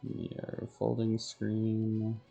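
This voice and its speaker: A man's voice: fairly low pitch, monotone, extremely slow, creaky, dark tone, soft background music. A man streaming a video game, thinking aloud in short murmured fragments as casual solo commentary. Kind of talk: casual solo gameplay commentary, muttering to himself.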